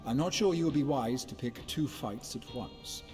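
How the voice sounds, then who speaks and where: Man as a cautious mentor, wry, knowing, animated pitch, game cutscene, music behind. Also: normal speed, natural voice. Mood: watchful, cautioning. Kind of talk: video game cutscene acting.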